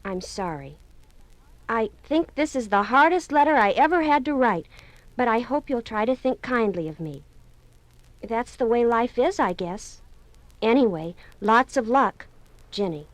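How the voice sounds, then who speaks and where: A woman's voice: on the high side, lively intonation, unhurried, no room sound, low-quality recording. An actress in a 1950s radio drama playing a young woman who reads out her breakup letter, hesitant and apologetic.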